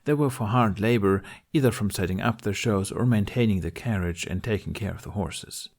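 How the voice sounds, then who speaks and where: A man reading a fantasy story aloud as an expressive amateur narrator. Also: fairly low pitch, a little fast. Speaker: amateur podfic reader.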